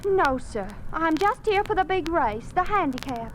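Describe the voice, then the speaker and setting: A woman's voice: very high-pitched, expressive, moderate pace, fairly bright tone, poor audio. An actress playing a genteel, unflappable young lady, chatty and polite, in a 1950s radio drama. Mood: politely chatty. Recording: old radio recording, faint noise.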